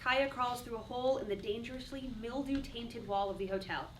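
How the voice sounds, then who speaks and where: A distant, noisy room recording of a woman narrating a play. Her voice sits a little high as she reads scripted stage directions. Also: a little fast.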